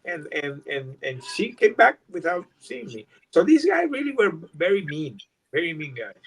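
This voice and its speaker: An older Venezuelan man who grew up speaking Spanish, answering interview questions in English in a halting way, with many repeated words and restarts. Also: on the high side, sing-song, husky, low-quality recording. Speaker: guest.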